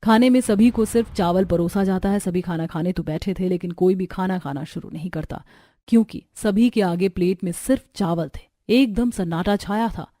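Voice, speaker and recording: A woman's voice: lowish voice, moderate pace, warm tone, no echo, clean studio sound. A woman reading scripted story narration as the narrator.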